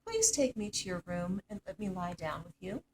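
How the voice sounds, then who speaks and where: A woman's voice: mid-range voice, big pitch swings, moderate pace, background noise. A woman voicing a big male storybook frog, pleading and insistent, calling out and bargaining. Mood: demanding.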